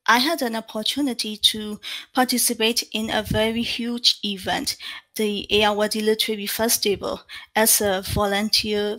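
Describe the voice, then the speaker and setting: A woman's voice: a little high, a bit bright. A woman in her thirties from Myanmar with a Burmese accent, answering interview questions over a video call with many fillers and restarts. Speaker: interpreter guest.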